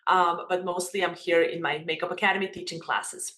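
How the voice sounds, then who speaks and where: A woman's voice: on the low side, little pitch movement, brisk, a bit bright. A woman in her thirties with a Serbian accent, a makeup academy owner talking openly about her life as a guest in a casual interview over a video call. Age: 30s. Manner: open, earnest, restarts her phrases. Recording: echoey room.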